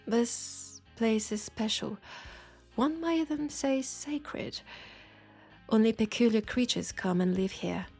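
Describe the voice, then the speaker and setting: A woman with a French accent playing a knowing, teasing witch in an intimate roleplay audio drama, with husky, breathy speech and dramatic pauses. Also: fairly high pitch, darkish tone, soft background music. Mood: knowing, mysterious. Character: knowing, teasing, compassionate witch in a secluded werewolf town.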